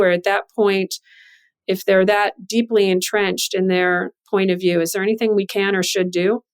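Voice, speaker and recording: A woman hosting a professional interview, speaking in a measured, articulate, explanatory way. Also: medium pitch, clean tone. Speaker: interviewer and host, physician and journal editor.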